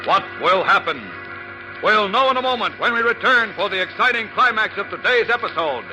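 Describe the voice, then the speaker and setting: A man's voice: high-pitched, expressive, a little fast, full character voice, low-quality recording. A man narrating a 1940s radio serial in a grave, suspenseful, theatrical way. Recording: old radio broadcast under loud music.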